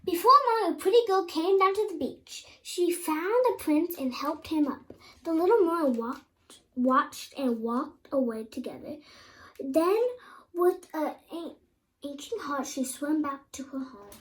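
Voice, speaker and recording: A very high-voiced female storyteller reads a children's tale aloud. Her delivery is halting, as she stumbles over words and repeats them. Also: expressive.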